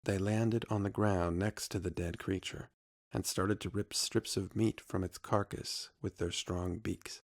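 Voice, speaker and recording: A man's voice: low-pitched, fairly even intonation, unhurried. A man narrating a scripted adventure story.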